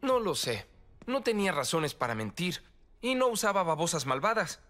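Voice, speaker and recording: Animated, earnest cartoon teen hero. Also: male voice, sits high, moderate pace, heavily acted. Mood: puzzled. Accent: Latin American Spanish. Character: determined, earnest teenage boy hero leading his team. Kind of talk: animated series dub. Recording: loud music behind.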